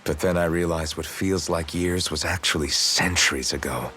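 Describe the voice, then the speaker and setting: An actor playing a somber, guilt-ridden young fantasy hero in a video game, with a hesitant manner. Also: male voice, sits low, normal speed, bright, forceful tone, breathy edge, heavily acted. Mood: brooding. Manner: somber, hesitant, self-doubting. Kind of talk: dramatic video game voice acting.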